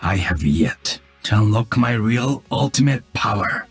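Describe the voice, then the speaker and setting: An actor playing a calm, solemn cyborg ninja in a video game, speaking in a measured, deadpan way. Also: male voice, fairly low pitch, husky, exaggerated voice. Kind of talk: video game character voice lines. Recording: music behind the voice.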